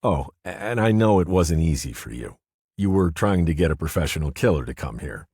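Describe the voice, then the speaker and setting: A man playing a sharp-tongued ghostly woman. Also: deep voice, animated, breathy edge, dry recording, studio quality, voice pushed up. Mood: teasing. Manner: teasing, quick, sharp-tongued. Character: feisty ghostly woman, former gym teacher. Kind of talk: audiobook character voice.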